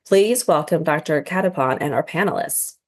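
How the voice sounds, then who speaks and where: A woman reading a quick, formal introduction of the panel moderator. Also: deep voice. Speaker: event host introducing moderator. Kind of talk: scripted introduction.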